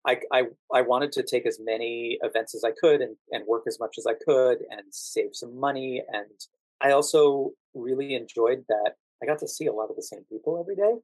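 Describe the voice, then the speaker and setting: An American man with a medium-pitched voice, recorded very dry. He talks like a guest on an interview show, unspooling personal stories in a conversational way and stretching out the words he wants to stress. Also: a little fast, darkish tone, no echo, rough recording. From United States. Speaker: podcast guest, actor working as a standardized patient.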